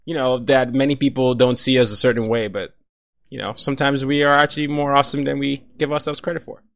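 A young man from Nigeria hosts a relaxed interview. He laughs a lot, trips over his words and restarts often. His voice sits in a middle register and sounds dry and close. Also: medium pitch, quick pace, creaky, dry recording. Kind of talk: casual conversational interview.

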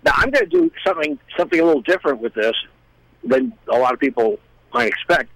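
A man chatting casually as a guest, explaining things in a rambling, halting way. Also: high-pitched, wildly swooping pitch, vocal fry, fairly bright tone, husky, dry recording, rough recording. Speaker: guest, musician. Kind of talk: casual chat.

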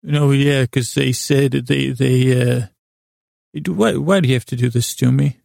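A man hosting a podcast in a rambling bedtime monologue, halting and meandering, often cutting himself off with false starts, in a self-deprecating, absurdist way. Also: medium pitch, fairly even intonation, gravelly, darkish tone, dry recording, clean studio sound. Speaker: podcast host.

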